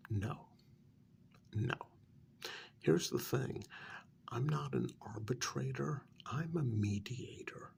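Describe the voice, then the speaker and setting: A man playing a calm, reproachful vampire mediator in a soft, breathy, half-whispered ASMR role-play, speaking in measured, halting phrases. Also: medium pitch, slight background noise, exaggerated voice. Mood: measured, firm.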